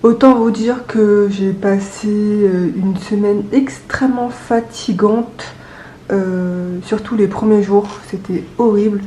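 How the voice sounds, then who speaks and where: A young adult woman with a cold and a stuffy nose, chatting casually to camera, with many hesitant pauses. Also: mid-range voice, fairly even intonation, warm tone, echoey room, slight background noise. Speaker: budgeting vlogger.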